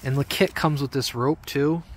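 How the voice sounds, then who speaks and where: Man, mid-pitched voice, informal hobby vlogger, halting off-the-cuff how-to talk, outdoors with faint background noise. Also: fairly even intonation. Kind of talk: casual off-the-cuff how-to explanation. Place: outdoors among trees.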